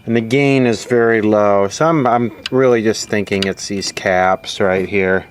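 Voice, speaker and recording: A man with a slightly low voice talks very slowly and offhandedly through a hands-on repair. He thinks aloud like a hobbyist at the bench. It sounds like amateur camera audio, with faint noise behind him. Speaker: electronics repair hobbyist presenting his own video. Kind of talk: casual hands-on repair commentary.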